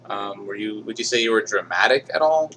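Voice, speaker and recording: Bright-voiced man asking casual questions. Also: on the low side, brisk. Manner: relaxed, conversational, frequent ums and likes. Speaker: interviewer running typing sessions. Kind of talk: casual interview. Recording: faint hum behind.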